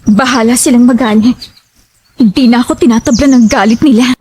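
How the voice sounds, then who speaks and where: A woman's voice: on the high side, brisk, lightly acted. A woman playing a defiant, nonchalant young runaway in audio horror drama dialogue.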